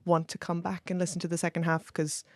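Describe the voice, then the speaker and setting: A woman's voice: on the low side, monotone, fast talker, a little mellow, no echo. A woman hosting a podcast in a conversational introductory style. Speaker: podcast host.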